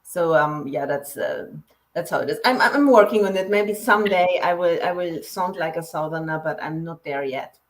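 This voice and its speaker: A woman from Poland who grew up in Germany, speaking English with a mixed Polish-German accent as an interview guest, repeating words and pausing with ums. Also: fairly low pitch. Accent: Polish-German mix. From Poland, raised in Germany. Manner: stammering restarts, frequent ums, laughs easily. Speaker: guest author. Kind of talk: casual interview.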